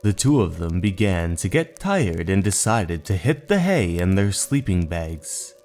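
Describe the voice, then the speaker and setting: Deep, slow, dramatic male horror narrator. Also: sing-song, darkish tone. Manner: dramatic, drawn-out storytelling delivery. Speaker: narrator and host of manga readings. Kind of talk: scripted horror story narration. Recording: music under the voice. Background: background music.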